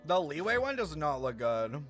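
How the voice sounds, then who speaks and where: A man's voice: on the high side, very animated, moderate pace, fairly bright tone. Casual male game streamer over music. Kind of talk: casual live game commentary.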